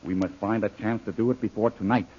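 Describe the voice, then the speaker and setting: An actor playing a resolute, principled adventurer hero in an old-time radio serial. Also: male voice, fairly low pitch, fairly even intonation, quick pace, warm tone, slightly rough, highs cut off. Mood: determined. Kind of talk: 1930s radio serial acting.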